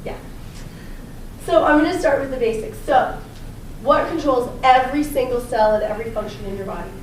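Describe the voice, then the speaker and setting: A slightly hoarse woman with a raspy voice, a chiropractor giving a chatty, upbeat health talk and quizzing her small audience. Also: high voice, a little fast. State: slightly hoarse from talking a lot. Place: personal training gym, indoors. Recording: echoey room, distant mic, background noise.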